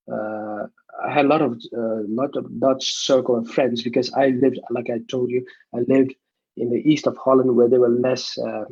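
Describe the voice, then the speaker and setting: A young man from Nepal, talking as an interview guest in a halting way, full of fillers and restarts. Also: medium pitch, mellow, breathy edge, highs cut off. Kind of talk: casual interview over a video call.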